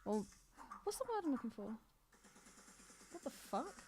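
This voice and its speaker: A woman co-hosting a podcast in casual chat about a film, animated and exclamatory, with plenty of swearing. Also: sits high, moderate pace. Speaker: podcast co-host.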